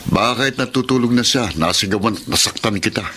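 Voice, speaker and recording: A man's voice: on the low side, fast talker, pushed and bright, slight background noise. A man playing a stern, controlling older brother in a Filipino radio drama, with a Filipino accent. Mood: angry, scolding.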